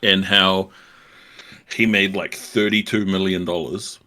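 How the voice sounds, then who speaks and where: A man's voice: low-pitched, steady tone, measured pace, bright, forceful tone. A young man, co-hosting a podcast in a laid-back, rambling chat that is broken by frequent laughs. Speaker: podcast co-host. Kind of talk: casual chat.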